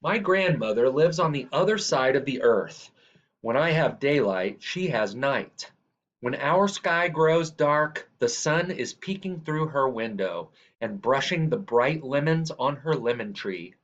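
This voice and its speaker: A man reading a children's picture book aloud in a measured, deliberate way. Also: medium pitch, animated, fairly bright tone. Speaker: reader. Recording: dull, lo-fi sound.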